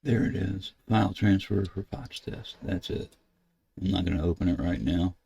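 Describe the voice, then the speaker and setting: An older American man narrating a casual screen-share tutorial, thinking aloud in a halting way as he works at his computer. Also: deep voice, creaky, mellow, breathy edge. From United States. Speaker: ham radio video host. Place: indoors at a computer desk.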